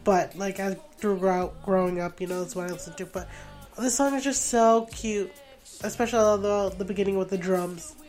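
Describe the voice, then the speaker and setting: A woman's voice: mid-range voice, moderate pace, faint music behind. A woman in her twenties, from Rhode Island, chatting casually as a podcast co-host, telling stories with lots of "like"s. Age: 20s. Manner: chatty, animated storytelling with laughs and "like"s. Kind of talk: casual chat.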